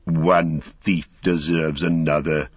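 A man acts out a fallen old wizard from a fantasy audiobook, proud and full of scorn. His voice is deep and husky, with mocking, grandiose phrasing that lingers on words. The recording is somewhat dull. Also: little pitch movement, no echo, heavily acted. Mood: sneering resentment. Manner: mocking, archaic grandiose speech with drawn-out words.

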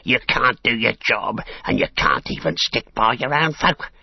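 A small, insolent male orc for a storybook. He jeers and whines with a husky, creaky rasp, forever grumbling and sneering. The recording is dull and roomy. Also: lowish voice, animated, measured pace, voice pushed up, heavily acted. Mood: frightened rage. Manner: jeering, whining complaints.

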